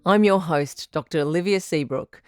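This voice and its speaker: A woman playing a supportive radio advice doctor and host in an audio drama, measured, articulate and reassuring. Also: fairly low pitch, darkish tone, no echo, faint music behind, close to an everyday voice. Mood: welcoming, composed.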